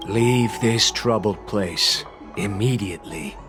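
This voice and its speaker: An actor playing a blunt, formal dragon-kin official in a video game, admonishing in a declamatory way over music. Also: male voice, fairly low pitch, takes it slowly. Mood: stern, admonishing.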